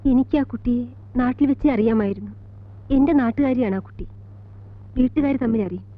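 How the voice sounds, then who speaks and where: An actress playing a composed middle-aged college teacher in a film drama, speaking in a measured Malayali accent with deliberate pauses between short phrases. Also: female voice, on the high side, no room sound, natural voice. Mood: sombre, reflective. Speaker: actor in a film. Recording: tinny band-limited old film soundtrack, music behind.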